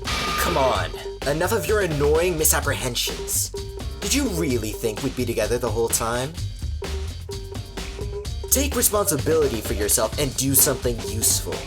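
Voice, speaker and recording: A haughty elite student a man acts him out speaks with cold, curt condescension in a game dub. His mid-pitched delivery bends up and down as he dismisses people. Music plays clearly behind him. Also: very animated, normal speed, natural voice. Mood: dismissive. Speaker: voice actor in a fan dub.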